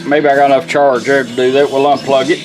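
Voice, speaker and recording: A man's voice: mid-range voice, little pitch movement, fast. A man giving an informal, folksy, step-by-step product demonstration in a plain-spoken way. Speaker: vintage car radio restorer demonstrating a product. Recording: music from the demonstrated radio behind.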